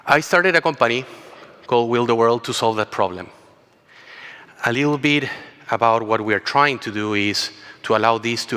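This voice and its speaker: A man's voice: on the low side, pushed and bright. A man from Chile with a Chilean Spanish accent, giving an earnest keynote talk built around a personal story and sometimes restarting his words. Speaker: keynote speaker. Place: large conference hall. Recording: long hall reverb.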